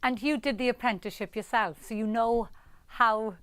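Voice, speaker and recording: Bubbly high-voiced woman host. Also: moderate pace, a bit bright, clear, resonant tone, no echo. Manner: bubbly, gushing, exclamatory. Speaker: awards ceremony host. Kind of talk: live awards show hosting and interview.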